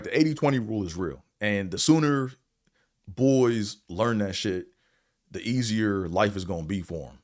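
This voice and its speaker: Deep-voiced blunt man ranting casually. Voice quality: stutter. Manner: blunt, profane, rambling, fillers like "man" and "you know". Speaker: show host reviewing a series. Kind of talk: casual opinion monologue.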